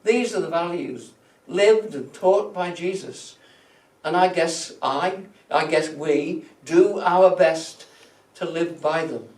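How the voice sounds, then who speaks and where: A male church minister gives a thoughtful sermon in a fairly high voice. He speaks deliberately, leaning on key words and letting phrase endings drop away softly. The sound is very echoey and somewhat distant from the microphone. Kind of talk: reflective sermon from prepared notes.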